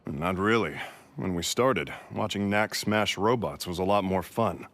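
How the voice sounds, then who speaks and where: Low-voiced steady adventurer. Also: male voice, a little slow. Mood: doubtful, supportive.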